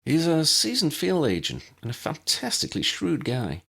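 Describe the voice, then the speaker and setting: A man voicing a keen, excitable young female agent in an audiobook, eager and emphatic. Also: on the high side, normal speed, vocal fry, slightly rough, pitched above the performer's own voice, heavily acted. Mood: admiring.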